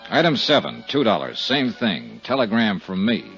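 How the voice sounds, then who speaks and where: A man's voice: lowish voice, sing-song, normal speed, vocal fry, a bit bright, heavily acted. A man with an American accent acting as a dry, clipped, matter-of-fact insurance investigator who narrates a 1950s radio detective drama. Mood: crisp, businesslike. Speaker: lead actor and narrator. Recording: muffled vintage radio recording with music.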